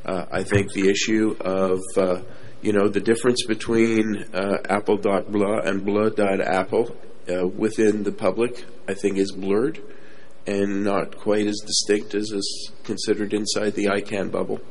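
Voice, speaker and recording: A man's voice: lowish voice, flat delivery, unhurried, a little mellow, poor audio. A man giving unscripted remarks as a panelist.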